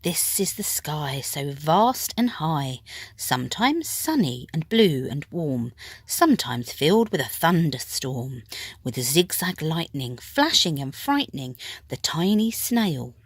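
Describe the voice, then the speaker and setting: A woman reading a rhyming children's picture book aloud in a dramatic way, at times dropping to a hush and drawing words out. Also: deep voice, very animated, slightly rough, no echo. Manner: dramatic, with hushed whispered phrases and drawn-out words. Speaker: storybook reader. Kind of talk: expressive children's picture-book narration in rhyme.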